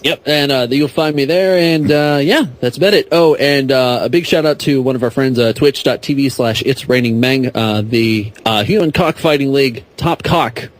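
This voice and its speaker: A man's voice: mid-range voice, moderate pace, few pauses. A man speaking as a podcast guest in a casual, comedic, rambling way. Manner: rambling, jokey.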